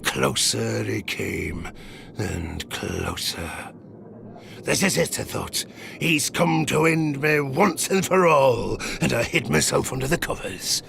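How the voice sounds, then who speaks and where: An actor playing a grizzled old sailor, gruffly telling children a dark bedtime tale in an audio drama. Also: male voice, mid-range voice, very animated, slight background noise, heavily acted. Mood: hushed, suspenseful. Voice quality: gravelly. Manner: theatrical, gruff, suspenseful storytelling with dramatic pauses.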